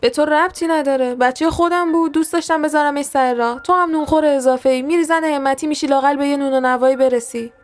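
A woman voicing an angry, abusive addict father, shouting with contempt in an audio story. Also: on the high side, steady tone, lightly acted. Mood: angry, contemptuous.

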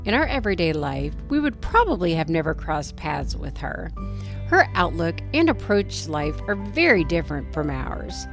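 A woman's voice: fairly low pitch, very animated, moderate pace, faint music behind. A woman co-hosting a podcast, reading an earnest scripted intro and then chatting casually with a guest. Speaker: podcast co-host.

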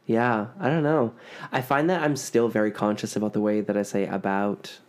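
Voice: mid-range voice, fairly even intonation, normal speed. A podcast host from Ontario with a Canadian accent, chatting casually and telling stories with playful emphasis on words. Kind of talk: casual chat.

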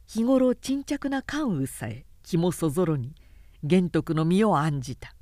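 Female narrator for historical fiction, low pitch, unhurried, expressive, dry close sound. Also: dry recording. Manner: measured, expressive storytelling. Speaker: narrator, reader. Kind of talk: dramatic literary audiobook narration.

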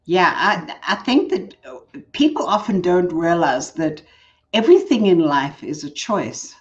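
An older South African woman with a notably low voice talks through her work in a relaxed, measured way, often breaking into laughter. The sound is a little dull and lo-fi. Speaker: guest, leadership coach. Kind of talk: casual interview chat.

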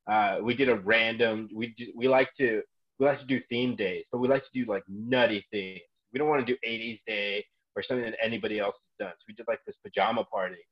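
A man's voice: mid-range voice, dull audio. A man in his twenties telling a story in casual chat, acting out people's reactions as he goes. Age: 20s. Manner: animated storyteller who acts out reactions. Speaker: gym coach and guest.

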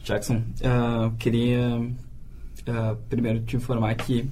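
A man's voice: mid-range voice, monotone, takes it slowly, warm tone, slight background noise. A Brazilian man, a radio reporter, asking polite questions in an interview. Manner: polite, gives short backchannels.

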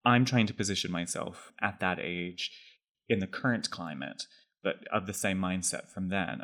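A deep-voiced man in his thirties, from Texas, speaks thoughtfully and articulately. His delivery is measured and well-spoken, and his pitch sits low throughout. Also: fairly bright tone. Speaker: podcast guest. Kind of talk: reflective conversation.

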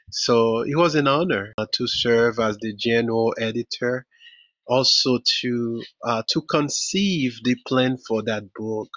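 A middle-aged man from Haiti with a Haitian accent, a professor answering interview questions in a measured way, often restarting phrases. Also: mid-range voice, fairly bright tone, no room sound. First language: Haitian Creole. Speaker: professor and author. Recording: dull, muffled audio.

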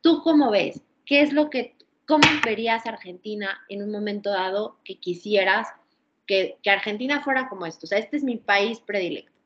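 A woman's voice: medium pitch, moderate pace, a little hiss or hum. A woman interviewer asking questions conversationally, affirming and wordy as she searches for her phrasing. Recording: dull lo-fi audio.

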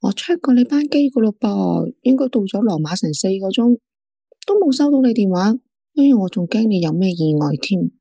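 An actress playing a fussy, nagging best friend in a Cantonese radio drama, with a Hong Kong accent. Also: female voice, medium pitch, lively intonation, a little fast, gravelly, dark tone, husky, no room sound, studio quality. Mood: fussing, curious. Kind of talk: radio drama acting, phone conversation.

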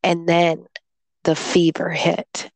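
A woman chatting casually, slowly telling a rambling story about being ill, still sounding congested. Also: fairly low pitch, completely flat delivery, a little mellow, no echo. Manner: rambling storyteller, slow and drawn-out. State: congested, recovering from illness. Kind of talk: casual chat.

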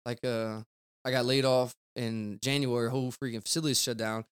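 A man's voice: medium pitch, flat delivery, brisk, clean tone. A man, a car detailer chatting casually as a podcast guest in a rambling, laid-back way. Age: 20s.

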